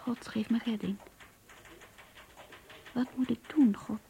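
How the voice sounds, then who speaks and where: A woman's voice: a little high, steady tone, slow, gravelly, warm tone, slight background noise. An actress playing an earnest, idealistic young office worker who confides her troubles in an introspective radio play monologue. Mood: troubled, lonely. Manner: introspective, pleading, searching.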